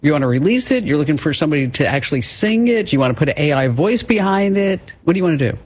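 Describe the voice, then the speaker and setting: A man's voice: fairly high pitch, animated, quick pace, a little mellow, breathy edge, no room sound. A man hosting a radio call-in show, speaking in a conversational presenter's style. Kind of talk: conversational hosting and scripted ad reads.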